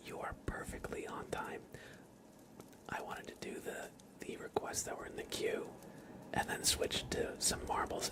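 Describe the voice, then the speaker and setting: A man hosting an ASMR livestream, chatting casually and gently with viewers in a soft, breathy whisper. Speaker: live ASMR streamer and host.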